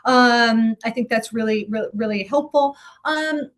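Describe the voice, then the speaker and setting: A woman's voice: a little high, unhurried. A woman answering interview questions as a guest, conversational and earnest, explaining her advice in a tentative, thinking-aloud way.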